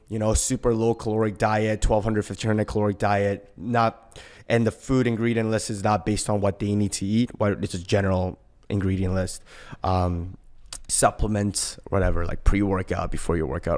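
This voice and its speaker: A man with a fairly low voice, speaking as a guest in a casual podcast conversation. He is emphatic and coach-like, building his points in short bursts. He often ends them with a quick checking question. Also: a little mellow. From born in Korea, later moved to Canada. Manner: emphatic, often tags sentences with "right?". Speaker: personal trainer and boxing coach, podcast guest.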